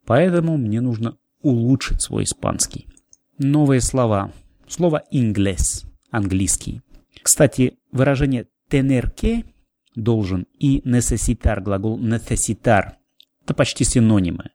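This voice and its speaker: Guy teaching a language lesson, soft dark voice, dry close sound, says words then translates. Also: mid-range voice, animated, slow pace, no room sound. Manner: explains words, then repeats and translates them. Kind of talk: scripted lesson narration.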